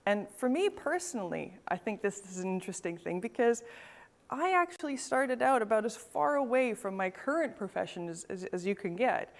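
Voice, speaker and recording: A woman's voice: fairly high pitch, sing-song, moderate pace. A woman from Northern Canada with a Canadian accent, hosting a business event and giving a scripted keynote with storytelling and the odd repeated word. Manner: storytelling, uses forest imagery, occasional stammered repeats. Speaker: event host and keynote speaker.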